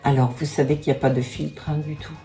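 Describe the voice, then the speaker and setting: A woman's voice: sits low, level tone, fast, warm tone, faint music behind. A woman in her sixties chatting casually through a makeup tutorial and product review, filling her talk with little asides. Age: 60s. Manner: chatty, fills with "hein" and "voilà". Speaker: beauty vlogger.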